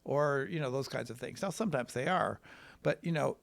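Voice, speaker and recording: An American man from Wisconsin, a trial lawyer telling his story in a casual, rambling, folksy and halting way. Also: medium pitch, creaky. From Wisconsin, United States.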